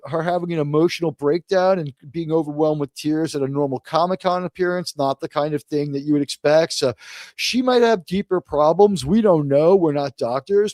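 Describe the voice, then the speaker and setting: A man's voice: a little high, darkish tone, no room sound. A man from Queens, New York hosting a live discussion show, blunt and opinionated, swearing freely. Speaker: show host. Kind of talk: casual live panel talk.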